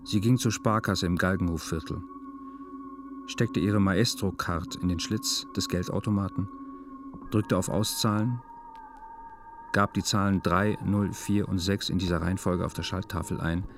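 An actor playing a detached male narrator, reading a literary radio drama in a deadpan, meticulous, measured manner. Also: deep voice, over music. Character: detached male first-person narrator of a melancholy story.

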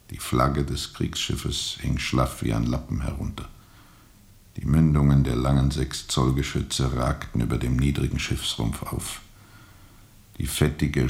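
Brooding steamboat captain, rock-bottom bass, gravelly fry, measured storytelling, heavy pauses, radio play. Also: male voice, a little mellow, exaggerated voice. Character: brooding, world-weary male steamboat captain recounting a jungle journey. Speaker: narrator and lead character.

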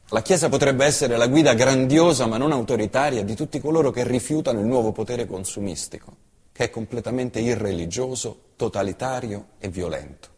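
A male stage actor delivering a theatrical monologue, impassioned and shifting from hushed tones to loud bursts. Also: fairly low pitch, breathy edge, big-room sound. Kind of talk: theatrical monologue reciting an essay.

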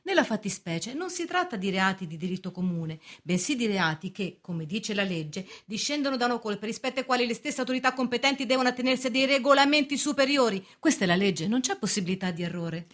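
Actress as officious agent, fairly high voice. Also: female voice, animated, quick pace, hardly any pauses, fairly bright tone. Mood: stern, irritated. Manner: peremptory, formal. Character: officious, stern arresting agent, cold bureaucratic underling. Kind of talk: theatrical radio drama acting.

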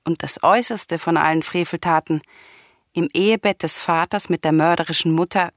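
An actress playing a grieving, vengeful, defiant princess in a classical radio tragedy, speaking in solemn, impassioned declamation. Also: female voice, on the low side, a little fast, lightly acted. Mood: bitter lament.

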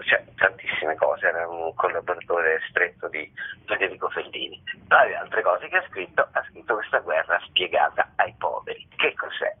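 A man's voice: fairly low pitch, slightly rough. A man speaking as an interview guest, explaining things in a discursive, emphatic way with repetitions. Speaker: theatre director, interview guest. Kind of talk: spontaneous radio interview talk. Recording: muffled, narrow telephone line.

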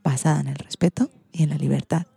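A woman's voice: deep voice, steady tone, fast, vocal fry, dark tone, breathy edge, no room sound. A woman with an accent from Spain hosting a children's radio programme, partly reading from a script.

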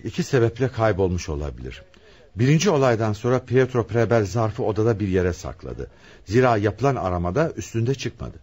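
A probing police inspector from a radio play. He questions deliberately in a lowish, rough voice. His intonation moves up and down with animation. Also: big pitch swings, a little mellow. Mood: analytical. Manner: inquisitive, deliberate. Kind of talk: Turkish radio theatre acting.

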